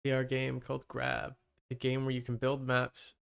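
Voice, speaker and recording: Man, clean smooth voice, middling pitch, casual streamer chat, rambling, thinking aloud. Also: monotone, normal speed, fairly bright tone. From Canada.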